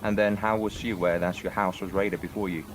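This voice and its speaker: A man cross-examining as a defense lawyer, his voice on the low side and formal, with frequent uhs. Clear background noise runs behind the game voice chat. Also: steady tone, fast. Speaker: defense lawyer in a role-play court.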